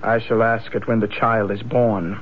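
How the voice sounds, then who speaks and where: A man's voice: fairly low pitch, flat delivery, a little hiss or hum, close to an everyday voice. Man acting a sinister bargain-maker, dull radio sound. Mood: firm, warning. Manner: deliberate, insistent, commanding. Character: sinister, soothing male bargain-maker with herbs and talismans. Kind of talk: 1970s radio drama acting. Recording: dull lo-fi old radio broadcast. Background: faint music.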